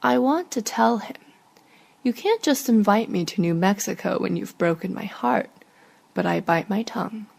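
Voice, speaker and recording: A woman reading her own literary short story in a measured, understated way. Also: medium pitch, lively intonation, vocal fry, darkish tone.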